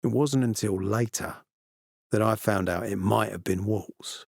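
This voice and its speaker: A male actor playing a stern, ambitious military commander in a video game, speaking in a measured, authoritative and formal way. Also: on the low side, creaky, darkish tone, dry recording, studio quality. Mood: reflective. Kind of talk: video game dialogue acting.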